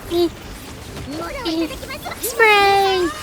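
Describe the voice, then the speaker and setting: A woman's voice: very high-pitched, drawn-out words. A woman doing casual livestream game commentary, playfully muttering to herself, close on the mic. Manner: playful, mumbles aloud to herself. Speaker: VTuber game streamer. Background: game music.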